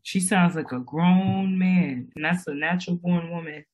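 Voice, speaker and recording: A woman's voice: lowish voice, normal speed, a little mellow. A woman co-hosting a reaction show who gives her opinions in a blunt, crude, emphatic way. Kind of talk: casual chat reacting to a video.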